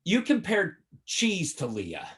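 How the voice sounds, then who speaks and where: A man's voice: high-pitched, huge pitch swings, takes it slowly, a bit bright, heavily acted. An older man playing a flippant, much-married sitcom father who needles his grown son in a conversational, teasing way over a video call. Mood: needling. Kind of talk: sitcom table read.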